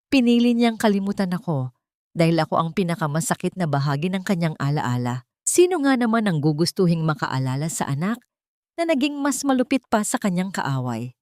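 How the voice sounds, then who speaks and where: A woman's voice: fairly low pitch, big pitch swings, fairly quick, a little mellow, no room sound, studio quality. A woman voicing a brooding, intense businessman son in a narrated drama story. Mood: remorseful. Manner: intense, threatening.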